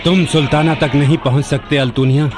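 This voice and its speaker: An actor playing an earnest, devoted royal general in a radio drama, speaking in a formal, declamatory manner. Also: male voice, fairly high pitch, fairly even intonation, brisk, over music, close to an everyday voice. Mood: defiant, grim. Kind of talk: Hindi radio drama acting.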